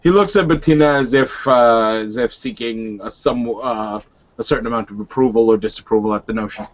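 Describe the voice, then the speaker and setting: A man's voice: medium pitch, dry recording. Man casually narrating roleplay, dry close sound. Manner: halting, informal. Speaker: roleplaying game player. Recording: slightly dull, dry lo-fi audio.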